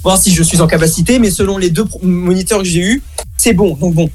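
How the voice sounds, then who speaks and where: Jeune homme au débit rapide, voix aiguë. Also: male voice, high voice, soft background music. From Baden-Württemberg, Germany. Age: young adult. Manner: fast, animated, complaining. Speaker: student. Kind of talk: casual chat.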